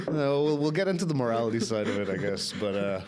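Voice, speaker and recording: A young man from Ireland with a softened, somewhat Americanized Irish accent, telling his own story candidly and casually as a podcast guest. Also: mid-range voice, big pitch swings, moderate pace. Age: young adult.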